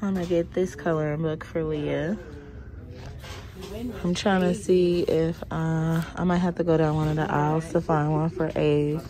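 A woman's voice: sits low, little pitch movement, moderate pace, crowd noise. A woman in her thirties vlogging a shopping trip, talking half to herself as she browses a big store on a handheld phone. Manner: muttering to herself while browsing. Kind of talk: casual shop-along commentary. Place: indoors in a large store. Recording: loud store ambience and crinkling packages.

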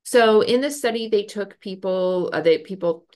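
A woman's voice: mid-range voice, normal speed, darkish tone. A woman in her thirties explaining a psychology study in a casual lecture over a video call, talking with many fillers and hand gestures. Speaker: educator explaining research. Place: home office with bookshelf. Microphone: webcam on a video call.

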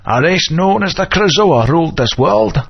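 An actor playing an old male wizard mentor, grave and weary, with a solemn, slow storytelling delivery and a husky voice. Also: a little high, lively intonation, full character voice. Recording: muffled lo-fi game audio.

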